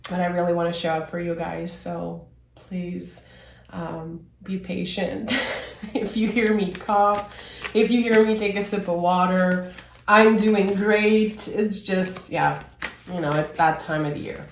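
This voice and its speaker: Raspy-voiced woman in her thirties, unhurried. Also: lowish voice, fairly even intonation, reverberant, a little hiss or hum. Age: 30s. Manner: warm-hearted, enthusiastic, musing aloud with many fillers. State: hoarse from illness. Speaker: tarot reader. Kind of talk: casual spoken tarot reading.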